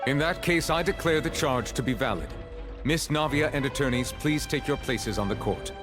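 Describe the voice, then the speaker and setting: An actor playing a stern, formal judge in a video game, speaking in a measured, authoritative manner. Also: male voice, medium pitch, music in the background, lightly acted. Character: stern, formal male judge presiding over a court. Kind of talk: scripted game dialogue.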